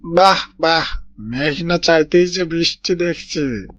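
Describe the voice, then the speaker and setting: A man's voice: fairly high pitch, slow, fairly bright tone. A man voicing a sly, greedy old male fox who is falsely friendly and grumbles about his age, in a cartoon. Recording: cartoon voice-over with background music.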